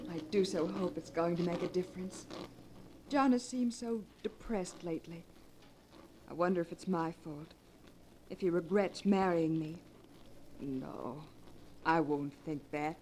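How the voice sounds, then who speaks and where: An actress playing a devoted, anxious young wife in 1940s radio melodrama, speaking with earnest, theatrical pleading. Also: female voice, fairly low pitch, measured pace, pauses often, a little mellow, lightly acted. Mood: wistful. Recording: noisy 1940s radio recording.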